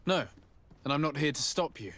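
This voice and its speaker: A man's voice: on the high side, animated, brisk, fairly bright tone. A man playing a composed, courteous noble diplomat in a video game, speaking politely and with discretion. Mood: businesslike, persuasive. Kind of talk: video game cutscene acting.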